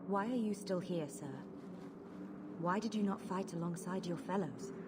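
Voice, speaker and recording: A woman's voice: lowish voice, normal speed, lightly acted. A woman playing a proud, wary fugitive mage in a video game, speaking in a grave, formal, solemn manner. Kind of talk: dramatic video game acting. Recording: clear ambient noise behind the voice.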